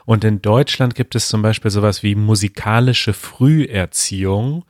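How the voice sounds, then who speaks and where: Low-voiced man, easygoing podcast co-host. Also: moderate pace, a little mellow, no echo, studio quality. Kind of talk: casual chat.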